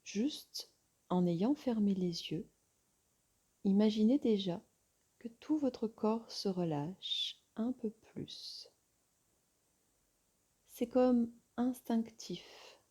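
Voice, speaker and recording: A woman yoga teacher guiding a relaxation meditation in a soft, soothing, breathy voice with long pauses. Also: mid-range voice.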